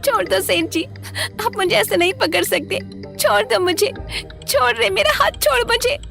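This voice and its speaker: A woman's voice: extremely high pitch, normal speed, creaky, sharp tone, faint music behind, pitched above the performer's own voice, exaggerated voice. A woman voicing a dutiful, curious village wife in a cartoon story, with an eager, deferential manner. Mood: frightened, pleading. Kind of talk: Hindi cartoon story dialogue.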